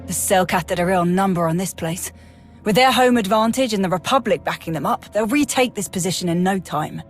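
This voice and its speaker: An actress playing a brash, wry military major in a video game, blunt and breezy. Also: female voice, mid-range voice, animated, fairly quick, soft background music, close to an everyday voice. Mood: concerned.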